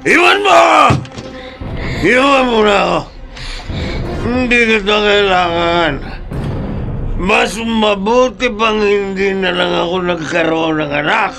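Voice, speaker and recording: A man's voice: high-pitched, slow pace, gravelly, long pauses, over music, exaggerated voice. A man acting in a Filipino radio drama as a bitter, hot-tempered, middle-aged drunkard father who has been in prison. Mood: drunken rage. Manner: anguished, emphatic outbursts.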